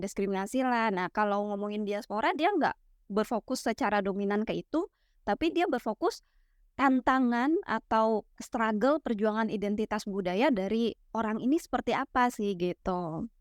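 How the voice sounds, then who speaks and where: A young woman from West Sumatra explaining ideas in a relaxed, teacherly chat, full of fillers and tag questions. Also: mid-range voice, normal speed, clear, resonant tone, no echo, studio quality. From West Sumatra, Minangkabau. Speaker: guest explaining literary theory.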